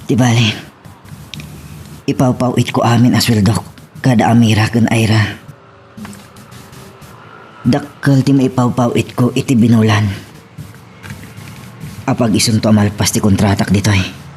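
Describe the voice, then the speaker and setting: A man's voice: mid-range voice, steady tone, a little slow, long pauses, a little mellow, faint music behind, natural voice. A man with an Ilocano Filipino accent playing a brooding, guilt-burdened husband working abroad in an audio drama. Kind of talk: Ilocano radio-style drama acting.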